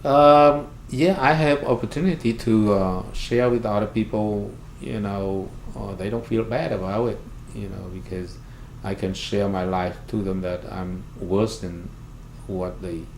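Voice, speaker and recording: A man with a Cambodian accent, a native Khmer speaker, recounts his experiences in halting, simply phrased English in an interview. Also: lowish voice, fairly even intonation. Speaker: interviewee, refugee services worker and pastor. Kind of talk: oral history interview, recounting personal experience. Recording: roomy echo, clear background noise.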